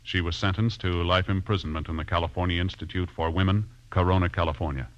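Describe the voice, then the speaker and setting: Deep-voiced solemn American male radio announcer. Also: fairly even intonation. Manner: solemn, official, measured. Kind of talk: formal 1950s radio scripted narration. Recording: dull vintage radio broadcast, music behind. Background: music.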